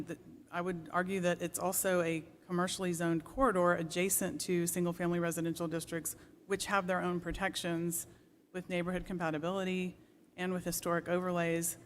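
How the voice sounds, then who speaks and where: A planning staff member speaks at a public meeting in a measured, explanatory manner. The pitch is medium and stays quite flat. There is faint room noise around the voice. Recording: noisy meeting room ambience.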